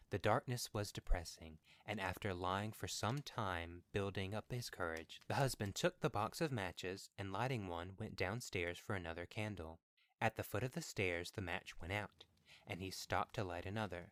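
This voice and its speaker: Low-pitched man narrating a horror story. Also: a bit bright, no room sound. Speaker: podcast host reading a story.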